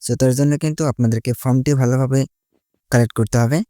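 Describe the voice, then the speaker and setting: A man's voice: medium pitch, steady tone, quick pace, mellow, no echo, studio quality. A man giving a casual step-by-step tutorial, mixing in English words and leaning on repeated linking phrases. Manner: repetitive connective phrases, mixes English words into Bengali. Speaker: tutorial host.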